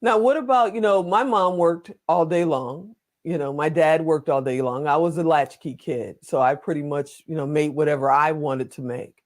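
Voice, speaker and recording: A woman's voice: low-pitched, moderate pace, hardly any pauses, darkish tone. A woman hosting an interview show, chatty and jokey, asking long rambling questions. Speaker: talk show host. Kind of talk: casual interview conversation.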